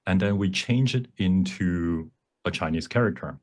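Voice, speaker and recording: Male workshop presenter, low register, explanatory and halting while demonstrating software. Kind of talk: live software demonstration talk. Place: online presentation at a computer.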